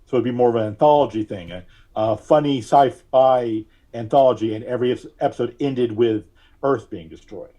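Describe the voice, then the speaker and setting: Mellow, halting man in casual interview. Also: mid-range voice, highs cut off. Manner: halting, thinking aloud. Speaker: podcast guest; audio drama writer.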